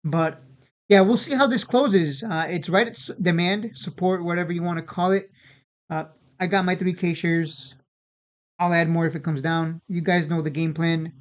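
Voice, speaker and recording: Casual trading-channel host, mid-pitched, informal and repetitive, on slightly lo-fi audio. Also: fairly even intonation, moderate pace. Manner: informal, repeats and restarts phrases. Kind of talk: casual unscripted market commentary.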